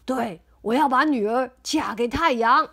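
Mom doing nervous old mouse chief, stammers, kinda loud, storybook read. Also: female voice, fairly high pitch, unhurried, vocal fry, husky, heavily acted. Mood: anxious. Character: fretful old male mouse village chief.

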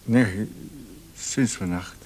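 A man's voice: fairly low pitch, drawn-out words, a little mellow, slight background noise, rough recording. An actor playing an exhausted, anxious male patient in a vintage radio play, speaking hesitantly and tersely. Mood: weary, terse.

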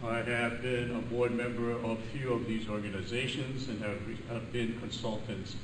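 A man's voice: mid-range voice, level tone, moderate pace, noisy background. Older man lecturing in an echoey hall. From Hawaii. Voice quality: loud voice. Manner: chatty, self-deprecating, laughs at own jokes. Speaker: physician lecturer. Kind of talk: conference lecture with jokes. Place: large conference room. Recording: echoey room, voice from a distance.

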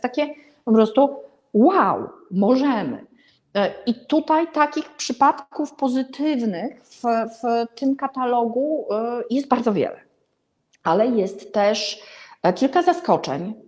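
A woman's voice: fairly high pitch, a little mellow. A middle-aged woman gives an informal spoken review to camera, searching for words and leaning emphatically on key ones. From Warsaw, Poland. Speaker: presenter of an art and architecture vlog. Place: small indoor room with paintings and a bed.

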